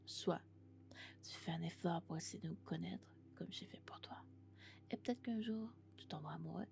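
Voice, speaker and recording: A woman playing a lovesick, possessive female robot in an ASMR roleplay, speaking in a hushed, breathy, intimate whisper. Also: lowish voice, normal speed. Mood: insistent, possessive.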